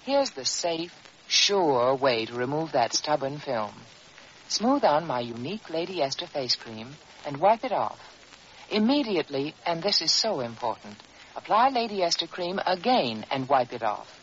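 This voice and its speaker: A woman's voice: low voice, big pitch swings, normal speed. A woman delivering a scripted 1940s radio commercial as spokeswoman, instructive and emphatic. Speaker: advertising spokeswoman. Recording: hissy, dull old radio recording.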